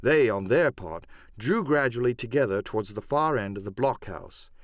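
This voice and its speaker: A man narrates an audiobook in a dramatic storytelling style. His mid-pitched voice moves expressively up and down, and the recording is close and dry. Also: animated, moderate pace, dry recording. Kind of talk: dramatic scripted first-person narration.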